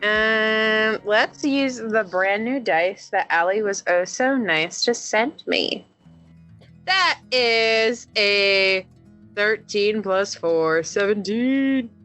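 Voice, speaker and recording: A woman's voice: mid-range voice, lively intonation, extremely slow, a bit bright. A playful woman chatting colloquially as a player in a tabletop roleplaying game. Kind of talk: casual animated game chat. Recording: music playing under the voice.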